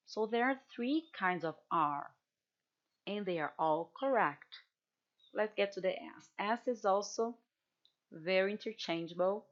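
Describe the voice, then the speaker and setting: A woman's voice: medium pitch, lo-fi sound. A Brazilian woman with a Brazilian Portuguese accent, teaching Portuguese pronunciation in English and patiently repeating example words. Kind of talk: online language workshop.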